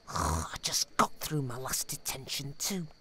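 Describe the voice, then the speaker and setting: A woman voicing a scrappy, excitable, reluctant teenage boy student in a fantasy audio drama. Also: very deep voice, takes it slowly, a little hiss or hum, exaggerated voice. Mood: grumbling.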